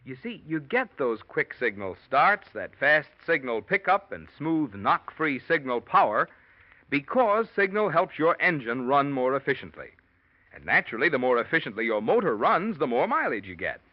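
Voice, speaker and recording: Genial old-time radio announcer. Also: male voice, mid-range voice, sing-song, normal speed. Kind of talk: radio commercial read. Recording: dull old radio broadcast.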